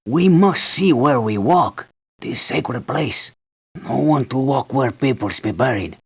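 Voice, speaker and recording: A man playing an earnest, wary island native guide in a video game, speaking gravelly, simple broken English. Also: medium pitch, lively intonation, slow, heavily acted. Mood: earnest warning.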